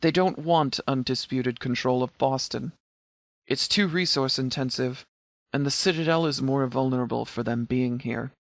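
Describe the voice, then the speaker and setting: A man voicing a composed video game law officer who speaks formally and precisely, with a dry, deadpan manner. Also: medium pitch, normal speed, no echo, natural voice. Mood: analytical, matter-of-fact. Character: composed, formal male sheriff's department commander, strategic and dry. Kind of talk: video game dialogue voice lines.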